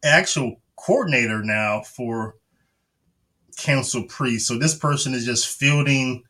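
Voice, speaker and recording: A man's voice: mid-range voice, unhurried, pushed and bright. A man hosting a live stream, chatting casually, affirming his guest and reading out viewer comments.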